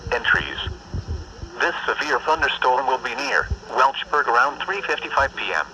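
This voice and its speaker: A man with a formal, measured, synthetic-sounding delivery reads a scripted emergency weather bulletin over weather radio. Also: mid-range voice, sharp tone. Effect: weather radio broadcast. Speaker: weather radio warning announcer. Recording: muffled, distant, noisy weather radio capture.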